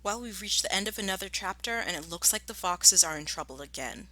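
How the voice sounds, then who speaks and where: A woman teacher giving a storybook read-aloud lesson, explaining and narrating in an expressive manner. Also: mid-range voice, brisk, no echo. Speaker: primary school teacher.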